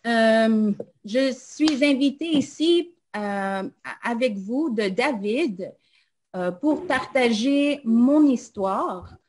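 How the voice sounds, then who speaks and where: Slow, halting woman. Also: fairly high pitch, a little mellow, a little hiss or hum. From Montreal, Canada. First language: English. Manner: hesitant, many "euh" fillers, switches into English. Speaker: invited guest speaker. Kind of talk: casual personal talk.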